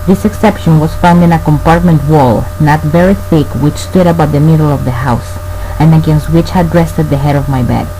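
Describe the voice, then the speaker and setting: An actor playing a tormented man narrating a gothic horror tale, formal, grave and measured in a radio play. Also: on the high side, steady tone, mellow, lightly acted, low-quality recording. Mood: awed. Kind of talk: scripted dramatic narration. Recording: faint background noise and hum.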